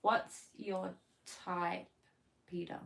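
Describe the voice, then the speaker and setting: A woman's voice: fairly low pitch, flat delivery, drawn-out words, vocal fry, crowd noise. A woman co-hosting a livestream, chatting casually, asking questions and laughing often. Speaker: carer and co-host. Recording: noisy livestream audio.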